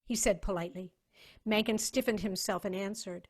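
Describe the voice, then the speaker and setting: A woman's voice: medium pitch, fairly even intonation, normal speed. Woman narrating a dramatic story.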